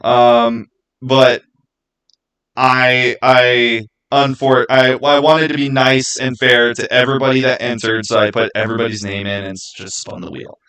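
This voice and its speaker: A man from the Americas chatting casually as a livestreamer, answering viewers and explaining himself in a halting way with repeated false starts. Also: mid-range voice, level tone, pushed and bright, low-quality recording. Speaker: live streamer and gaming YouTuber.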